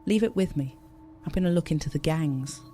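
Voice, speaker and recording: Actress as a mellow, sarcastic, teasing woman. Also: low-pitched, a little fast, faint music behind, natural voice. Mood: teasing, businesslike. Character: sharp-tongued, teasing, sarcastic woman investigator. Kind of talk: naturalistic audio drama acting.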